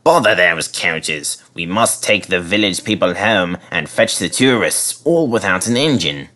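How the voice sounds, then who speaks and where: A man voicing a brisk, exasperated railway controller from a storybook. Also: deep voice, animated, sharp tone, exaggerated voice.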